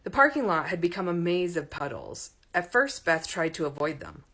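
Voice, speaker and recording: A woman reading a romantic comedy story aloud in an expressive audiobook narration style. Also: fairly low pitch, moderate pace, husky.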